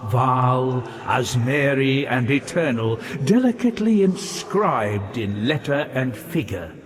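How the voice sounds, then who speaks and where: A weary, wryly reflective scholar from a video game, heard in long hall reverb. He speaks slowly and theatrically in measured, literary phrases. His pitch sways widely, giving the melancholy a grand sweep. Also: slight background noise. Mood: lecturing, dry. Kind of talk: scripted video game character dialogue.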